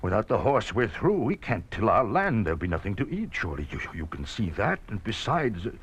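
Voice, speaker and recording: A man's voice: lowish voice, very animated, brisk. An actor playing a kindly, flustered elderly farmer in an old radio drama, hesitant and homespun in his talk. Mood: distressed, pleading. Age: older. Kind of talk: 1950s radio drama acting.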